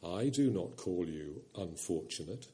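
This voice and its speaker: A man reading a storybook voicing a vast, ancient lion that speaks deep, low and slow with calm authority. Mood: solemn. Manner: grave, unhurried, gentle authority.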